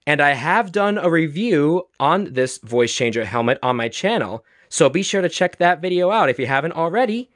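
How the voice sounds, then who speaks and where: A man's voice: on the high side, fairly bright tone, dry recording, clean studio sound. A man giving a chatty show-and-tell product review, enthusiastic and fond of repeating emphatic phrases. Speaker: toy reviewer.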